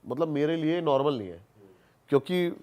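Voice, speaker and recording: A man's voice: on the high side, lively intonation, normal speed, warm tone. A man telling stories casually as a podcast guest, colloquial and repeating himself for emphasis, mixing in English words. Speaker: podcast guest, haunted-place investigator. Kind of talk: animated casual storytelling on a podcast.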